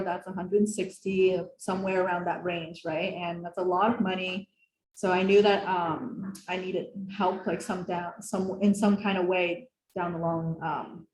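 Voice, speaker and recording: Young woman host, low-ish voice, casual and chatty, echoey room, faint noise. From Philadelphia area, US. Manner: casual, friendly, with frequent fillers. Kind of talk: online workshop presentation. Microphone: video call.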